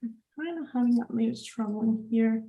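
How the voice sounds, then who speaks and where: Brief: enthusiastic female webinar host on a video call, slightly high voice. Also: brisk, vocal fry, dark tone. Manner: upbeat, enthusiastic, with fillers and restarts. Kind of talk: hosting a live online chat.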